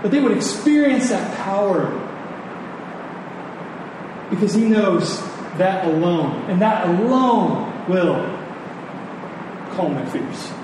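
Male preacher, earnest sermon delivery with repeated words for emphasis; very echoey room, clear background noise. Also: high voice, animated, slow, long pauses. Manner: earnest, emphatic with repetition for stress. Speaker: pastor preaching to his congregation. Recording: echoey hall, distant mic, noisy background.

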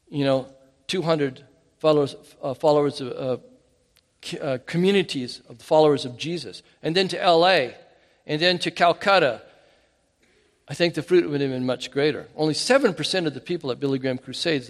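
A man preaching a sermon in an animated, emphatic way, often restarting his phrases. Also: on the high side. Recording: hall reverb.

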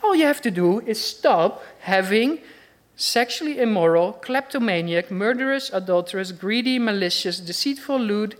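A woman's voice: medium pitch, animated, moderate pace. A woman with a Dutch accent delivers a sermon. She preaches earnestly and conversationally, putting question after question to her listeners.

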